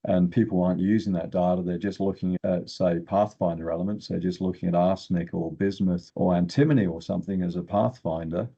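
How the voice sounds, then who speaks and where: An older man explains technical matters in an interview with firm opinions. His voice sits low and dark, with a husky grain, and the sound is slightly dull and lo-fi. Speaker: guest geochemist.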